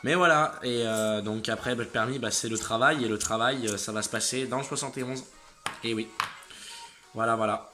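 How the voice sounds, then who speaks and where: A young man in his late teens talking to viewers in a casual vlog. He is exhausted and a little stuffed up from a cold, so his speech is halting, often trailing off and starting over. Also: mid-range voice, over music. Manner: halting, trailing off and restarting sentences. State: exhausted and slightly congested. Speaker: motorcycle channel host.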